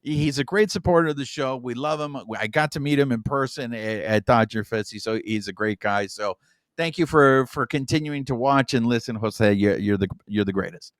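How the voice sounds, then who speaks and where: A man's voice: mid-range voice, moderate pace, dry recording, clean studio sound. A man in his forties, a native Spanish speaker, co-hosting a podcast in playful, hyped-up casual chat. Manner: lively, playful hype. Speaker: podcast co-host.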